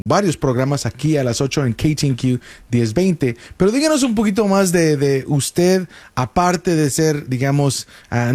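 A man's voice: a little high, darkish tone, no room sound. A man in his thirties, born in Guatemala and raised in the US, hosts a radio show as a lawyer and talks sincerely, with hesitant "ah" and "eh" fillers. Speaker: immigration lawyer and radio host. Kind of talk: radio talk show conversation. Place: radio studio. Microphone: studio microphone.

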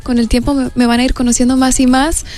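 A young woman from the United States, a singer guest on a radio show, speaking softly and reflectively in Spanish with a hard-to-place Latin American accent and frequent restarts. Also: sits high, level tone, fast talker, faint music behind. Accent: mixed Latin American Spanish. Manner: soft-spoken, reflective, hesitant restarts. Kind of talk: radio interview.

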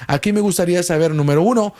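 A man's voice: high-pitched, fast talker, dark tone. Man explaining as a call-in lawyer. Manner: explanatory, asks many questions, often restarts words. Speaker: immigration lawyer hosting a call-in show. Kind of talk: radio call-in legal advice.

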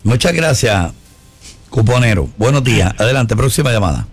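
A man hosting a talk radio show, brisk and teasing as he takes calls. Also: medium pitch, expressive, slightly rough, soft background music. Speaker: radio host.

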